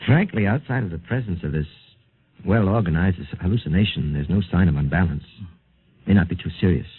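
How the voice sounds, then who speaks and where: On a muffled old radio recording, a low-voiced male doctor. He is calm, measured and clinical, soothing yet doubtful, in the acting style of 1950s drama. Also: breathy edge.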